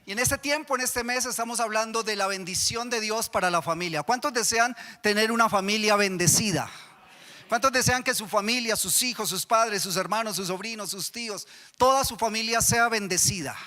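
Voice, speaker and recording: A man's voice: high voice, sharp tone. A man with a Colombian accent preaching a sermon as pastor, often asking the congregation questions. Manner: asks the congregation repeated questions. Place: church hall. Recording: church hall reverb.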